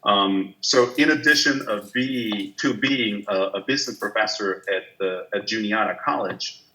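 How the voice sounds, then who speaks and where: Hesitant man from Taiwan, bright voice. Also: fairly low pitch, normal speed, poor audio. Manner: hesitant, many fillers and restarts. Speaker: business professor. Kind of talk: online guest lecture.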